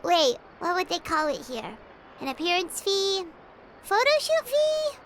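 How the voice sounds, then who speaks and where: A woman voicing a tiny childlike fairy companion in a video game, squeaky, cheeky, excitable and exclamatory. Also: a little slow, very noisy, full character voice. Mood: smug. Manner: refers to self in third person, exclamatory. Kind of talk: animated video game character acting. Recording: loud background music under the voice.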